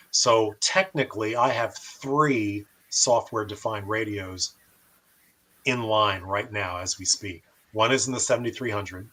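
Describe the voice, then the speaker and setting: A man with a fairly low voice and a bright, forceful edge. He talks as a host walking through a live demonstration, methodically explaining each step and pausing between phrases. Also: low-quality recording.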